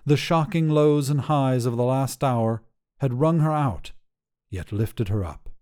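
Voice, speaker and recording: A man's voice: mid-range voice, measured pace, darkish tone. A man reading scripted short fiction as the narrator. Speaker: story narrator.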